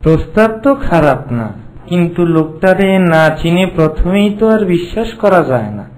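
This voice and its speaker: A man voicing a bossy, high-pitched greedy landlord. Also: expressive, normal speed, darkish tone, rough recording. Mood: greedy, wary. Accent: Bangladeshi Bengali. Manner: bossy, gruff, threatening. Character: cruel, greedy, short-tempered male village landlord. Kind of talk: cartoon folk tale voice acting.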